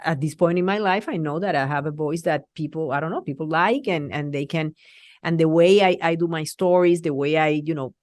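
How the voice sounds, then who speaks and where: A woman from Rico speaks English, in a low, dry voice. She is a middle-aged TV journalist, lively and halting as she restarts her sentences in a casual interview. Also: no room sound. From Puerto Rico. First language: Spanish. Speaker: TV journalist guest.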